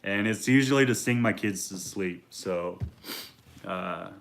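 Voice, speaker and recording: Deep voiced guy in his 30s just rambling to camera, lots of ums, laid back. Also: measured pace, creaky. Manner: relaxed and rambling, many fillers. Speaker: reptile vlogger. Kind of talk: casual talk to camera.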